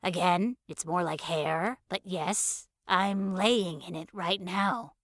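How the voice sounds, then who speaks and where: A woman's voice: fairly low pitch, slow pace, dry recording, clean studio sound. A woman playing a laid-back, spacey giver of muddled mystical advice in audio fiction, nonchalant and rambling. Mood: dreamy, nonchalant.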